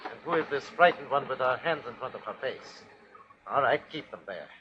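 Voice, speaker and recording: An actor playing a cruel, rattled Nazi army captain, formal and clipped, in a 1940s radio horror style. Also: male voice, medium pitch, animated, moderate pace, background noise, natural voice. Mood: harsh, contemptuous. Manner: clipped, formal report-giving that breaks into barked orders. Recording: dull, lo-fi vintage radio recording.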